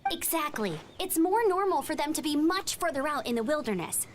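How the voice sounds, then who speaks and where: A woman's voice: high voice, expressive, a little fast, slight background noise. A woman playing an eager, earnest young female knight in a video game, with music behind. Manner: bright, earnest, enthusiastic. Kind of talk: animated game voice acting.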